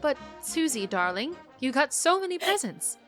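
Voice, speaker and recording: A woman plays a caring young girl's mother in a radio play. Her voice is high and very lively in pitch, earnest and expressive, with faint music underneath. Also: normal speed. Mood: earnest, reassuring.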